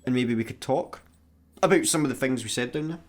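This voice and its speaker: A man's voice: medium pitch, a little fast, lightly acted. Radio sci-fi comedy lead: actor as Scottish male layabout, impulsive, keyed up, a bit awkward and apologetic. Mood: sheepish.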